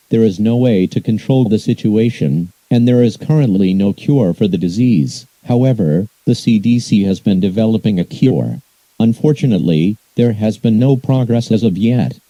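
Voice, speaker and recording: A man reading a formal scripted emergency bulletin as an alert announcer, in an impersonal, measured way. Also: on the low side, dark tone, dry recording, rough recording.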